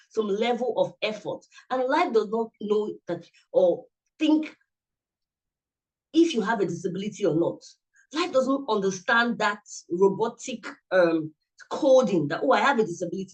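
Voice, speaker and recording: A man's voice: high-pitched, low-quality recording. A man giving a motivational talk as a presenter at an online summit, earnest and coaching, often repeating himself, speaking into a computer mic on a video call. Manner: earnest, repetitive, coaching directly.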